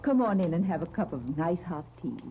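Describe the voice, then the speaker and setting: A woman's voice: on the low side, very animated, fairly quick. An actress playing a hospitable, coyly wistful lady neighbor in a 1930s radio serial, speaking in a genteel, coaxing manner. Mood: inviting, coaxing. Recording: crackly, tinny 1939 radio disc recording.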